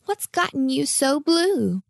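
A woman voicing an eager, caring, cheerful young girl in a children's storybook, expressive and enthusiastic. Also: sits high, takes it slowly, gravelly, dry recording, clean studio sound, voice pushed up, exaggerated voice. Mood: caring, sweet. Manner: bright-spirited, expressive, enthusiastic.

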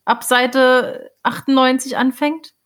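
A woman's voice: high voice, steady tone, takes it slowly, fairly bright tone. A woman with a German accent asking casual questions as an interviewer.

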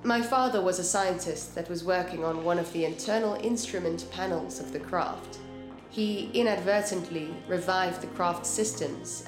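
A woman's voice: fairly low pitch, natural voice. An actress playing an earnest, precise female scientist in an audio drama, explaining things formally and carefully. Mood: reflective, explanatory.

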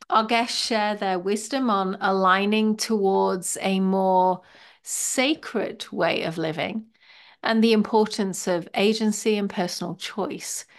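A woman hosting a podcast, introducing the episode and welcoming guests in a measured, reflective way. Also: medium pitch. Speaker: podcast host.

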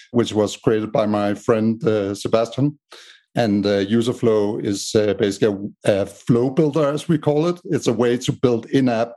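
A man from Denmark with a Danish accent, a startup co-founder answering interview questions in a measured way with many "uh" fillers. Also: medium pitch, dark tone. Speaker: podcast guest, startup co-founder.

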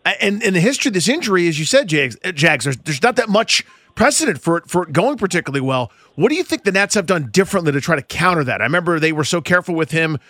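A man's voice: sits high, big pitch swings, fast, few pauses, fairly bright tone, no room sound. A man co-hosting sports talk radio, talking conversationally and wordily as he works toward a question.

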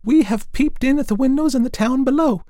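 A man voicing a flock of small, eager sparrows in a storybook, chirpy and excited in a very high voice. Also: a little fast, dry recording, clean studio sound.

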